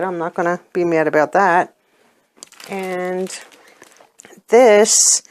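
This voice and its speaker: A middle-aged woman with a hoarse voice from a cold, chatting casually as she reviews beauty products and often pauses with ums. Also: lowish voice, a little mellow. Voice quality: hoarse, clears her throat. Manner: chatty, plainspoken, hesitant with ums. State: getting over a cold, losing her voice. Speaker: unboxing vlogger.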